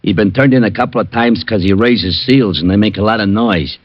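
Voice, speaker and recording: An actor playing a bumbling police sergeant in an old radio drama, hesitant and full of fillers. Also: male voice, lowish voice, brisk, close to an everyday voice. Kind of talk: 1940s radio acting. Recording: dull vintage radio recording.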